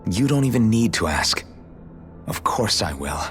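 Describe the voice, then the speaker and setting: An earnest young hero in a game story. The voice is notably deep, with plain-spoken, sincere delivery. There is some music underneath. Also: male voice, very animated, measured pace. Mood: friendly, reassuring. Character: earnest, idealistic young male hero warrior. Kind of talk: dramatic video game cutscene acting. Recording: music playing behind the voice.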